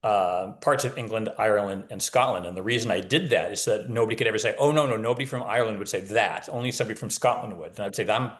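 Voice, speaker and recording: A man's voice: on the low side, fast, hardly any pauses. A man speaking as an author guest in a casual interview, explaining his craft in a talkative, wry manner.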